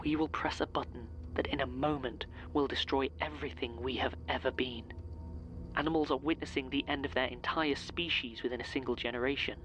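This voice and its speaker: An actor playing a solemn, ominous man who warns of an apocalypse in a breathy audio-drama monologue. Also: medium pitch, fairly even intonation, brisk, lo-fi sound, music in the background, close to an everyday voice. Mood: grave foreboding. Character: grave man warning of a coming apocalypse.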